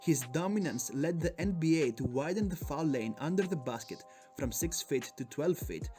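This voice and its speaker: A man's voice: on the high side, expressive, moderate pace, dark tone, faint music behind. A man from Greece with a Greek accent, narrating a sports video in a hyped, joking, profane way. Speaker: sports YouTuber.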